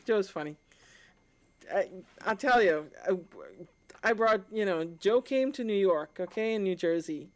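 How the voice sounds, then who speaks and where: A woman's voice: medium pitch, animated, a little slow. A woman hosting a livestream in a casual, informal, conversational way, often checking in with the listener. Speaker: live stream host, amateur radio hobbyist.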